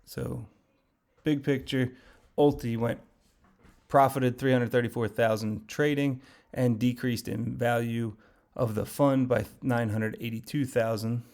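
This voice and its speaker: A man hosting a casual market recap, talking through trades in a rambling, conversational way with lots of fillers while his voice grows hoarse. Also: mid-range voice, steady tone, unhurried, a little mellow. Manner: conversational, rambling, many fillers and self-corrections. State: voice getting hoarse, losing his voice. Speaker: finance channel host.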